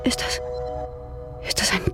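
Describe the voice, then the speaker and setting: Eine Frau spielt in einem Krimi-Hörspiel eine ängstliche, stockend sprechende Frau. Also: female voice, sharp tone, soft background music. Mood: frightened, disoriented. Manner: halting, stammering, breathy agitation. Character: anxious actress and frightened mother, waking in the dark. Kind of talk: German radio crime drama acting.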